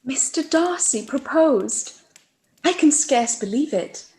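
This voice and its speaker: A woman playing a gentle, kind-hearted elder sister who speaks softly and earnestly in a live-read radio play. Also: high-pitched, expressive, slow, full character voice.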